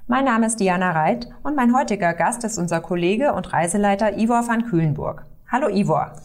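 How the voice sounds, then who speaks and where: Welcoming female podcast host, medium pitch. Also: lively intonation, brisk. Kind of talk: podcast interview hosting.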